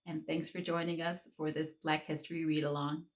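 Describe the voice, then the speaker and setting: A woman, a children's book author, reading her story aloud to children in a slow, expressive, encouraging way. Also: low-pitched.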